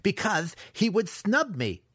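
Fantasy audiobook villain played by a man: young dark elf apprentice, mocking and resentful, pitched up, very animated. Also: measured pace, no echo, studio quality. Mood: bitter ranting. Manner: sneering, mocking.

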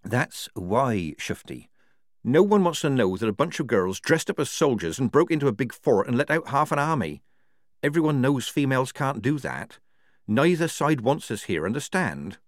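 A man's voice: mid-range voice, big pitch swings, moderate pace, no echo, studio quality, pitched above the performer's own voice, natural voice. A man voicing a weary, plain-spoken young woman soldier in a storybook, earnest and resigned.

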